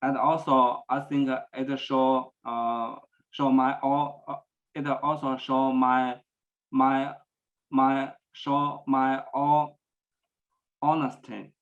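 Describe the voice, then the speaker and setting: Man stuttering thru a talk, slow and flat, kinda dull lo-fi audio. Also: medium pitch. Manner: stuttering with many repetitions and pauses. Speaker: presenter at a speech celebration. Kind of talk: personal talk about stuttering. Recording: dull lo-fi sound.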